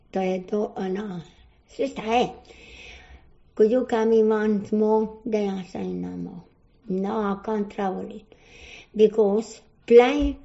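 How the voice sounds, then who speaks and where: Older Croatian-accented woman, native Croatian, slow and halting, mellow voice, dull lo-fi recording. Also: mid-range voice. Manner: halting broken English, searching for words. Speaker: interviewee. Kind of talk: oral history interview.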